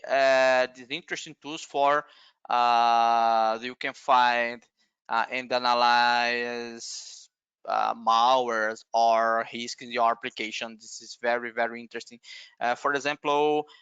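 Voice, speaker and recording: A man whose native language is Portuguese, giving a webinar talk haltingly with many filler sounds over a video call. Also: medium pitch, fairly bright tone, clean tone, no echo, highs cut off. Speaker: guest speaker.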